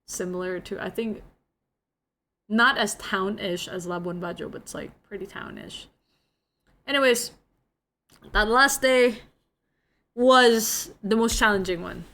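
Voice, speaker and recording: A woman's voice: medium pitch, unhurried, creaky, long pauses, a bit bright. A young woman from Indonesia, speaking English as a second language, chatting casually with her viewers and telling a travel story full of "like" and giggles. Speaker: streamer.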